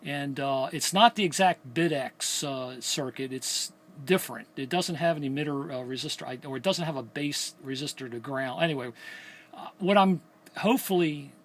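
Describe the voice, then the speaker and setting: A middle-aged man, a radio hobbyist chatting casually and explaining things in a hesitant, halting way at his workbench. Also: mid-range voice. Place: indoors at a home electronics workbench.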